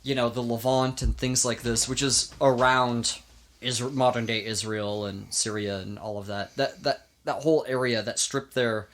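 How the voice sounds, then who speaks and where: A man's voice: medium pitch, steady tone, normal speed, fairly bright tone. A man hosting a podcast, telling history in an informal, emphatic way with casual banter.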